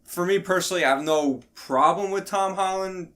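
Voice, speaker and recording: A man's voice: high-pitched, normal speed, a bit bright. A man co-hosting a podcast, talking in a casual, conversational way with friends. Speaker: podcast co-host. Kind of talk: casual group podcast chat.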